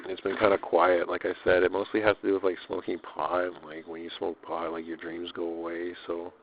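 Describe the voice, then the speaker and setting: Deep-voiced man rambling on a lo-fi recording. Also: flat delivery, a little fast, a little hiss or hum. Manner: loose, slangy, swearing, repeats himself. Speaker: personal audio diarist. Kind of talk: casual rambling audio diary.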